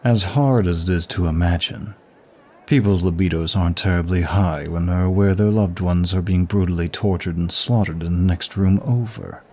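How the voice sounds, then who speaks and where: Man voicing a deep, husky, languid demon of lust. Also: warm tone. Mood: smug, reminiscing. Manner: languid, teasing, drawn-out delivery. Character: suave, teasing male demon prince of lust, bored and sadistic. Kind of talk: ASMR roleplay acting.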